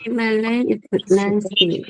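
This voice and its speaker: A woman from China, an adult beginner student, speaking careful, halting English on a video call. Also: mid-range voice, fairly even intonation, a little mellow, rough recording.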